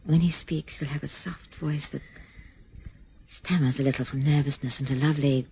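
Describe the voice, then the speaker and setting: A woman's voice: low voice, fairly even intonation, moderate pace, dark tone, a little hiss or hum. An actress as a gushing English society lady. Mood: admiring. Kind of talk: stage drama acting.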